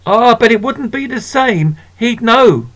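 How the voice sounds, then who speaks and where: A man's voice: very high voice, lively intonation, measured pace, a bit bright, pitched above the performer's own voice, exaggerated voice. A man voicing a plump, beaming older shopkeeper woman in a children's storybook, homely and chatty. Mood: kindly.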